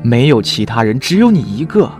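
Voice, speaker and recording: A man's voice: mid-range voice, big pitch swings, fairly quick, a little mellow, lightly acted. A man playing a devoted, stubborn young ex-lover in a modern audio drama, earnest and pleading. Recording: music under the voice.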